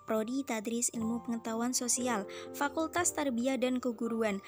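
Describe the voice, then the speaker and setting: Young adult woman, fairly high pitch. Student explainer read to viewers as friends, prominent music bed. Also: steady tone, moderate pace. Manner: addresses viewers as friends, storytelling. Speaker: university student presenter. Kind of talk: scripted explainer narration for a class video. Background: loud music under the voice.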